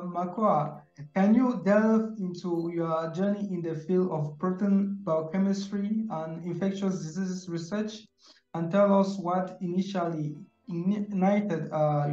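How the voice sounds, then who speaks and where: A man's voice: sits high, steady tone, unhurried, mellow, soft background music. A male host reading a formal introduction and asking interview questions, with slightly awkward phrasing. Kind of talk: scripted interview hosting. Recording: echoey, dull lo-fi sound.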